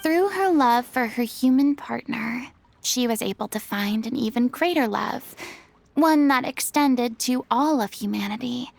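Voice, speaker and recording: A refined young consultant. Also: female voice, a little high, animated, gravelly, dry recording, full character voice. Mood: earnest. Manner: polite, formal, measured. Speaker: artistic consultant. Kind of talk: game voice acting.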